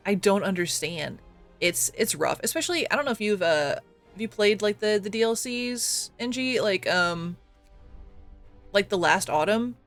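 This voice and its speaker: A man chats casually on a live game stream, his voice slightly raspy from coughing and gravelly at the edges. He talks in a fairly high register, full of fillers and little self-deprecating laughs. Faint music plays underneath. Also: lively intonation, a little slow, a bit bright. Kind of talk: casual live-stream chat while gaming.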